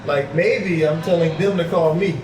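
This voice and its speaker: Man from the US, slightly high voice, loose rambling chat, roomy echo, noisy background. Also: moderate pace. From United States. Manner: animated storyteller, repeats fillers like "like". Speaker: interview guest. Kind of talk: casual chat. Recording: echoey room with background noise.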